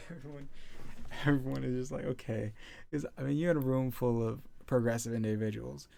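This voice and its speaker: A man's voice: mid-range voice, steady tone, normal speed, vocal fry, pauses often, warm tone, slight background noise. A man hosting a reaction video, commenting bluntly and with exasperation on a debate clip.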